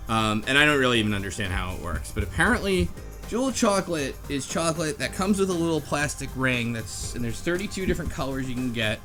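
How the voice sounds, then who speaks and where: A man's voice: medium pitch, expressive, quick pace, pushed and bright. A man co-hosting a casual group chat, explaining the plot of a game. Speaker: podcast co-host explaining a game's plot. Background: background music.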